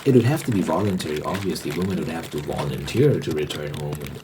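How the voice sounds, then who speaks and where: A man's voice: rumbling low, lively intonation, quick pace, noisy background. A man giving a casual, rambling ASMR monologue, informal and hesitant, often repeating his words. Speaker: host.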